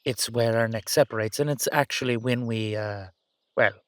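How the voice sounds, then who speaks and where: Casting: male actor as youthful Dullahan boyfriend, Irish accent, mid-pitched, affectionate, teasing, rambling; intimate roleplay audio, dry recording. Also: normal speed, fairly bright tone, smooth, no echo, natural voice. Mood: bashful, explaining.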